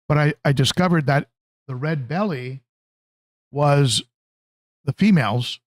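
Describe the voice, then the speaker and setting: A man hosting a casual hobby livestream, talking conversationally and haltingly, often repeating phrases as he answers viewers. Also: a little high, no echo, clean studio sound. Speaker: livestream host, aquarium hobbyist.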